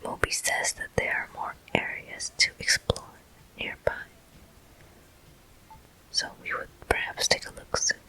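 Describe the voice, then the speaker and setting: A person whispering ASMR game commentary in a deliberate manner, pausing between short phrases. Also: pushed and bright, low-quality recording. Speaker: gameplay host.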